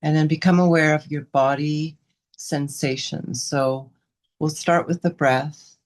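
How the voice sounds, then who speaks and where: A woman guiding a meditation as a teacher, giving unscripted gentle instructions in short, unhurried phrases with pauses between them. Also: sits low, steady tone. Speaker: meditation and yoga teacher leading a guided session.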